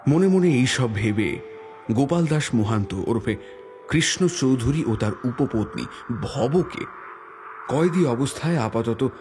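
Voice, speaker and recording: A man narrating a detective audio story in the first person as a police inspector, with measured, deliberate dramatic phrasing. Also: mid-range voice, lively intonation, darkish tone. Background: background music.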